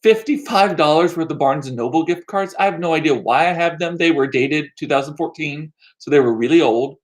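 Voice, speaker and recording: A middle-aged American man chatting casually as a livestream host, rambling along with self-deprecating asides and animated, repeated words for emphasis. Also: a little high, a little fast. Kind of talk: casual chat to live viewers. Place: small home room used as studio.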